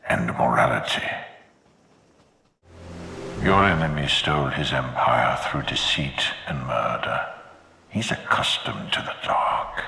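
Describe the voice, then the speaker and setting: A man playing the stern, commanding ghost of an armored Sith lord in a video game, with a slow, gravelly, processed voice. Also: bright, forceful tone, exaggerated voice. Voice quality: gravelly, breathy menacing rumble. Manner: slow, solemn, declamatory. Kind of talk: dramatic video game voice acting. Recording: long echoing reverb, faint noise.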